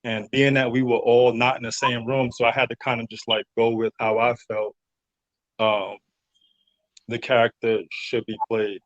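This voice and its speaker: A man being interviewed as a radio drama cast member, talking casually in a halting, reflective, informal way over a call. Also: medium pitch, flat delivery, dry recording, highs cut off.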